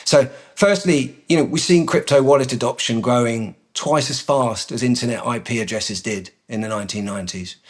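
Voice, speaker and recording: A man, a company executive director, answering interview questions in a measured way with frequent ums and restarts. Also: medium pitch.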